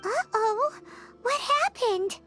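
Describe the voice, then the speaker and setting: Tiny nosy fairy sidekick, super high squeaky voice, chirpy little outbursts. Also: female voice, expressive, slow, over music, full character voice. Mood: curious. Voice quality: squeaky, childlike. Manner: talks about herself in third person, blurts reactions. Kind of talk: game cutscene voice acting.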